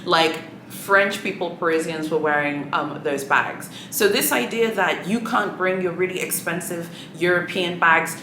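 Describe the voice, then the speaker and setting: Swahili-native woman vlogger, low voice, chatty and sassy, echoey room with audible noise. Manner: chatty, many fillers, sassy asides. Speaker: luxury shopping vlogger. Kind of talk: casual chat to camera.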